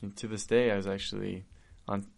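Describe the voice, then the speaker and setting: A young man from Argentina, a podcast guest talking in an earnest, heartfelt way with halting restarts. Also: fairly low pitch, flat delivery, vocal fry. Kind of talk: casual conversation.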